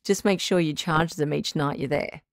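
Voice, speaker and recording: A woman's voice: low-pitched, moderate pace, no echo, studio quality. A woman playing a frank, reassuring doctor who hosts a radio call-in advice show, encouraging and matter-of-fact in a conversational style. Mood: reassuring, practical.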